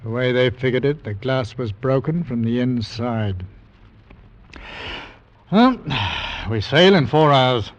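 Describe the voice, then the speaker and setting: Grave, terse sea captain. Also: male voice, mid-range voice, expressive, takes it slowly, pauses often, a little hiss or hum. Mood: grim, ominous. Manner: terse, weighty phrasing. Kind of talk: vintage radio drama acting. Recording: dull vintage radio recording.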